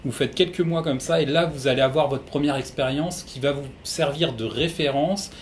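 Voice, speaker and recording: A man's voice: a little high, moderate pace, a little hiss or hum. A man working in human resources, giving methodical, practical advice to newcomers in an interview.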